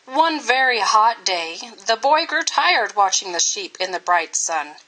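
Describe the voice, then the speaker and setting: A woman reading a children's story aloud in an expressive, scripted style with dramatic, deliberate phrasing. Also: medium pitch, rough recording. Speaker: storybook reader.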